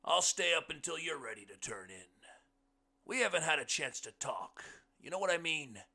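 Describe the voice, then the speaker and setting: An easygoing blind houseguest, played by a male reader, speaks high and loud in a very bright, forceful voice. He is folksy, curious and emphatic, a genial storybook character. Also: lively intonation, moderate pace, gravelly, full character voice. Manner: emphatic, folksy, calls listener bub. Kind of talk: audiobook character voice.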